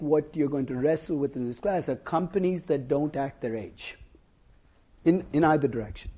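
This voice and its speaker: A middle-aged man lecturing a class on finance, husky from losing his voice, wry and conversational, putting questions to his students. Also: on the high side, mellow. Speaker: finance professor. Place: lecture hall.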